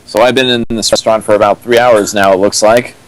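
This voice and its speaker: A man's voice: fairly low pitch, fairly even intonation, quick pace, dry recording, rough recording. A man making dry joking asides while a friend reads a fanfic aloud on a stream. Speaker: co-host riffing along. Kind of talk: casual stream banter.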